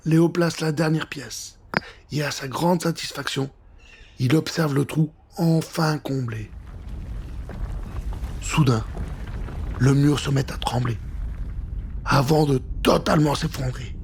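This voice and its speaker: A man narrating a scripted children's adventure story with an expressive, dramatic delivery. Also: fairly high pitch, unhurried, pauses often, noisy background. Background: music.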